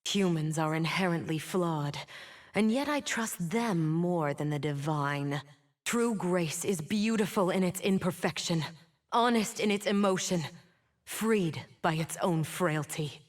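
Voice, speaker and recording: An actress playing a bitter, wounded fallen winged woman in a video game, solemn and theatrical. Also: fairly low pitch. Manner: slow, solemn, theatrical delivery. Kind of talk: dramatic video game champion voice lines.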